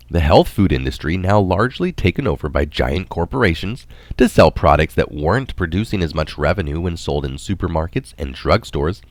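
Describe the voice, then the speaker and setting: A man with a low-pitched voice narrates scripted scientific prose. His intonation moves widely as he carefully sets out technical terms. The recording is close and dry. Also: darkish tone, no echo. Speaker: narrator reading an article aloud.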